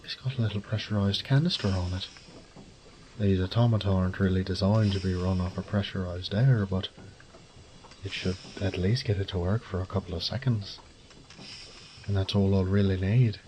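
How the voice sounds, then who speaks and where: Gruff, low-voiced sardonic thief played by a man. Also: fairly even intonation, normal speed, warm tone, natural voice, poor audio. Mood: wry, muttering. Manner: gruff, sardonic, swearing under his breath. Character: wry, foul-mouthed adult male thief, resourceful under pressure. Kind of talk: audio roleplay drama, muttering to himself.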